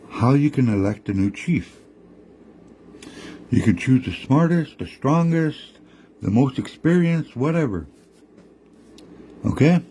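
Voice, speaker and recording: A storyteller lifts his voice high to play a sly coyote trickster, all easygoing charm and coaxing reassurance. His voice goes up well above his usual register but keeps a mellow, warm tone. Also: a little hiss or hum. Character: sly smooth-talking male coyote trickster.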